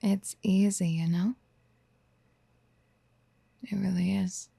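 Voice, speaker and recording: A woman playing a possessive, motherly yandere in a soft-spoken ASMR roleplay, gentle and coaxing, often slipping toward a breathy whisper. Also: mid-range voice, flat delivery, slow pace, no room sound, full character voice. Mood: soothing, persuasive. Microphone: close studio mic.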